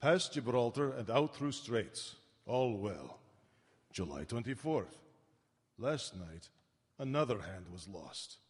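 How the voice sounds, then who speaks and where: A grave, tired sea captain in a live radio drama. He delivers short log-book phrases slowly and solemnly, his voice rough and medium-pitched, ringing through a large hall. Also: very animated, heavily acted. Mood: grave, weary. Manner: clipped, telegraphic, solemn. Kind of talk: live radio play acting. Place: town hall stage.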